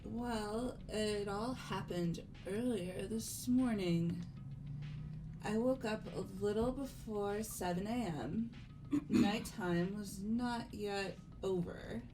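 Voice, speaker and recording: A woman voicing a composed young lady in a video game, speaking formally and haltingly as she gives a dramatic account. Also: medium pitch, over music, close to an everyday voice, rough recording. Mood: grave, formal.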